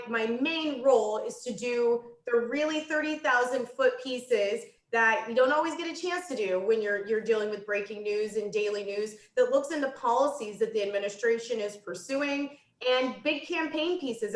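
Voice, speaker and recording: A woman's voice: a little high, normal speed, hardly any pauses, roomy sound, low-quality recording. A young woman from Kansas, a journalist speaking on a panel, friendly and articulate with a light teasing touch. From Kansas, United States. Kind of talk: panel discussion answers.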